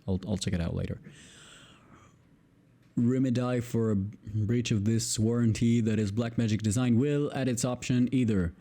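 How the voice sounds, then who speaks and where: A man's voice: medium pitch, steady tone, moderate pace, mellow. A man with an Israeli accent, a native Hebrew speaker, reading a legal text aloud, tripping over long words and breaking off to swear in exasperation. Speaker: video creator.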